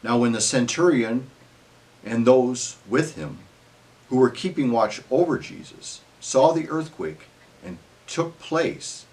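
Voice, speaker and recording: A man narrating scripture as a solemn dramatic reading, in measured phrases that trail off at the ends. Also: mid-range voice, expressive, darkish tone, low-quality recording.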